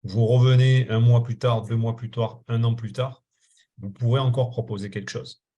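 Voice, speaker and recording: A man's voice: mid-range voice, fairly even intonation, normal speed, mellow, lo-fi sound. A man moderating a webinar panel, speaking with hesitation and a light joking tone.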